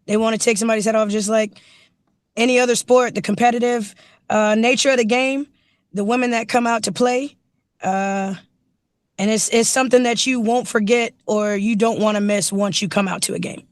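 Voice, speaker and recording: A woman football coach with a naturally raspy voice, hoarse from yelling, chatting casually with enthusiasm in an interview over a video call. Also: fairly high pitch, steady tone, moderate pace, dry recording, clean studio sound. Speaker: running backs coach, guest.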